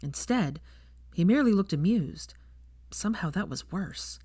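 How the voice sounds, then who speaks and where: A woman's voice: lowish voice, expressive, a little slow, mellow, husky, dry recording. A woman reading a story aloud as narrator, in a scripted storytelling style. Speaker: fan fiction narrator.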